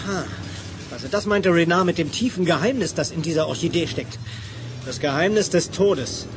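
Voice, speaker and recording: High-pitched, hot-headed male hero. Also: expressive, normal speed, music in the background. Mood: serious. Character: hot-headed, brave male crime-fighter, protective and gruff. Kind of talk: 1980s German radio drama acting.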